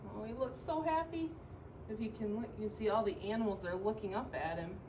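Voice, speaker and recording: Distant-miked woman reading a picture book aloud. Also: mid-range voice. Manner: expressive, measured phrasing, explains pictures simply. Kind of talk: read-aloud storytelling with simple asides. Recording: distant mic with faint background noise.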